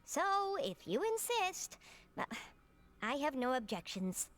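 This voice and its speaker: An actress playing a fussy, dutiful and exasperated court assistant in a video game, expressive and dramatic. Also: female voice, sits high, takes it slowly, exaggerated voice. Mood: resigned, exasperated.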